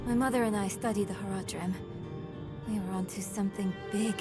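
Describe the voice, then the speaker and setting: A woman's voice: mid-range voice, steady tone, a little fast, music in the background, lightly acted. A woman playing a headstrong, defiant girl in a video game cutscene, earnest and insistent. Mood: urgent. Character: headstrong, defiant girl searching for her missing mother. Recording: loud game score behind voice.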